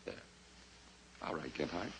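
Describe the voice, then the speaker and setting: An actor playing a cold, scheming nephew who talks with calm, polite menace in a 1950s radio drama. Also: male voice, unhurried, lightly acted. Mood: menacing. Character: cold scheming nephew, adult man, ruthless. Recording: old radio recording with faint hiss.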